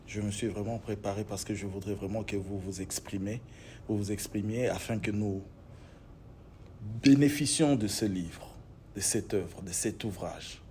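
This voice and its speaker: A man's voice: on the low side, normal speed, dark tone, a little hiss or hum. A man hosting an interview, speaking formally with repeated, emphatic phrasing. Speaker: show host. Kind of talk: interview host.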